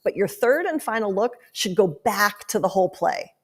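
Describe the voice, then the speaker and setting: A woman's voice: medium pitch, expressive, moderate pace, slightly rough. A woman speaking as a guest sports analyst in a podcast interview, explaining her thinking conversationally.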